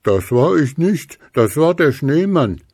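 A man's voice: fairly high pitch, big pitch swings, slow pace, a little mellow, breathy edge, dry recording, studio quality, pitched above the performer's own voice, full character voice. Gruseli, a man doing a timid snow ghost. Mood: defensive. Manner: pleading, defensive.

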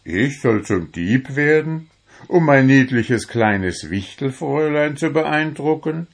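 A man's voice: lowish voice, animated, slow. A man voicing an earnest, bashful gnome who fancies himself a poet, in a storybook. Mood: indignant. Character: lovestruck male gnome, earnest amateur poet. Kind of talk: storybook character voice.

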